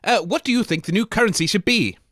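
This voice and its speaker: Grumpy man, high and bright. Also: fast talker, no room sound, studio quality. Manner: grumpy, blunt, insulting. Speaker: radio comedy host. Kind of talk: improvised comedy radio.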